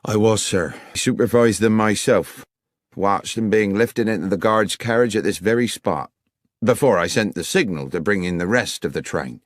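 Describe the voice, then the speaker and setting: A man's voice: on the low side, normal speed, dry recording, studio quality, natural voice. A man voicing an amiable, deferential railway foreman in his fifties, plain-spoken and respectful, for an audiobook. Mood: helpful, respectful. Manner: plain-spoken, respectful, working-class turns of phrase.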